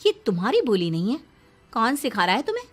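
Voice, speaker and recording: A woman's voice: a little high, wildly swooping pitch, quick pace, husky, full character voice. Woman playing an earnest young working wife. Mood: upset, questioning. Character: sensible young working wife, polite but questioning. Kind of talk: Hindi moral story dramatization. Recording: music behind the voice.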